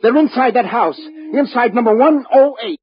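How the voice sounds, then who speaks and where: An actor playing an excitable, urgent man desperate to be believed, in the style of 1950s radio drama. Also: very high-pitched, normal speed, slightly rough, exaggerated voice. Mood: desperate, pleading. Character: frantic, disbelieving ordinary man desperate to be believed. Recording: muffled vintage radio recording.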